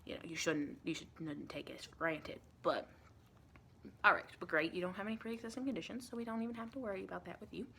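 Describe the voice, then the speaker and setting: A soft-spoken woman playing a chatty, caring female vampire intake interviewer in an improvised ASMR roleplay, rambling and reassuring with pet names. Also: lowish voice, quick pace, gravelly, slight background noise. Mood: chatty, matter-of-fact. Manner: rambling, reassuring, endearments like honey and sweetie.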